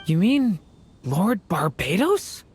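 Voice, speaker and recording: A woman voicing a young dragon boy in a video game, earnest, polite and quietly confident. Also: lowish voice, very animated, slow pace, a little hiss or hum. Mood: calm, confident.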